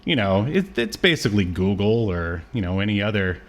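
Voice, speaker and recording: A man's voice: fairly low pitch, sing-song, brisk. A man co-hosting a podcast, chatting casually about pop culture with hesitant, rambling phrasing and trailing asides. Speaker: podcast co-host.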